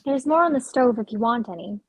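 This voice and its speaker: A woman's voice: a little high, lively intonation, brisk, husky, dry recording. A woman playing an independent, guarded, sentimental girlfriend in a naturalistic script reading, reserved in manner. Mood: reserved, soft.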